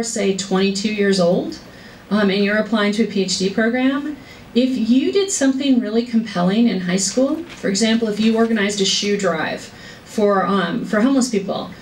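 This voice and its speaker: A woman giving a career workshop talk and answering questions, in a brisk, chatty way with easy laughter. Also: mid-range voice. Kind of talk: informal talk with Q&A. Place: lecture room. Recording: echoey room, distant mic, faint noise.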